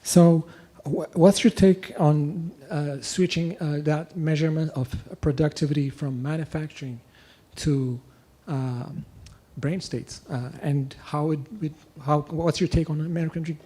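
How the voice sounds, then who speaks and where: A young man from Ethiopia asking a long question at a lecture, halting and full of restarts. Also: fairly high pitch, steady tone, warm tone. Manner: halting, many fillers and restarts. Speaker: audience questioner. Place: lecture hall.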